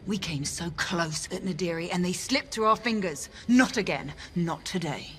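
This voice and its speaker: A woman's voice: lowish voice, moderate pace, a bit bright, slight background noise, close to an everyday voice. A woman acting an impatient, bitter Imperial fighter squadron officer in a video game, terse and clipped. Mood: bitter, determined.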